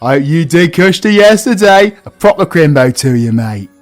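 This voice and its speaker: A man acts out a cocky British villain in a comic audio drama. His voice sits high and swings widely, with sweary, cheeky theatrical delivery over music. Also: expressive, normal speed. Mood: cocky, rowdy. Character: foul-mouthed cocky villain reluctantly playing Scrooge. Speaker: audio roleplay performer. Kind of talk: comedic villain audio roleplay acting.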